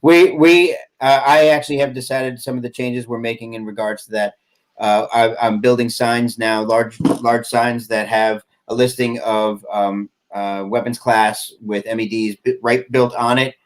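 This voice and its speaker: A man in his forties talks casually over a video call, his voice sitting at an ordinary middle pitch. He rambles, stopping and restarting often and leaning on lots of fillers as he explains his plans. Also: little pitch movement. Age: 40s. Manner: rambling, halting with many fillers. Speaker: airsoft field owner. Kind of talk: casual podcast chat.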